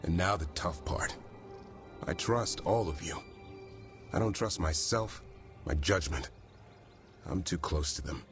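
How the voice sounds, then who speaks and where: Solemn, earnest man, lowish voice. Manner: solemn, measured. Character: earnest, self-doubting man, caring guardian of griffins. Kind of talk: cinematic video game acting. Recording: clear music under the voice.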